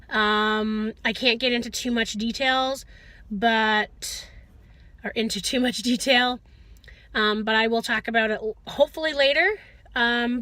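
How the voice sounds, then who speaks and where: A woman talking casually to viewers in a chatty, animated video diary, often interrupting herself. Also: fairly high pitch, no room sound. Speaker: vlogger, school teacher.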